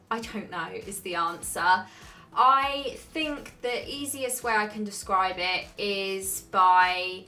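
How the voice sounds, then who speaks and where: A woman's voice: medium pitch, bright, forceful tone. A young woman answering viewer questions in a casual, chatty way, with frequent "um"s and a laid-back delivery. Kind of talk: casual Q&A chat.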